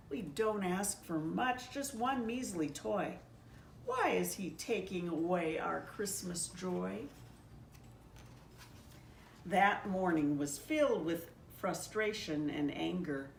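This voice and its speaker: A woman pastor reading a children's story aloud in a measured, gently expressive storybook delivery. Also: fairly low pitch. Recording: echoey room, distant mic, faint noise.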